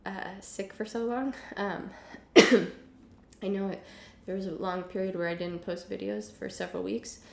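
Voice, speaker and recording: A young woman teaching a casual music lesson at home, hoarse from illness, chatty with many ums and the odd cough. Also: medium pitch, steady tone, a little slow, echoey room, a little hiss or hum. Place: home room with piano and guitars.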